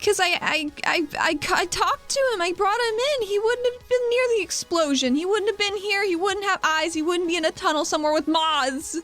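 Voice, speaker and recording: A woman's voice: very high-pitched, brisk, hardly any pauses, fairly bright tone, soft background music, voice pushed up. A woman voicing an anguished, self-blaming woman in a tabletop game, stammering and pleading. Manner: stammering, emotional.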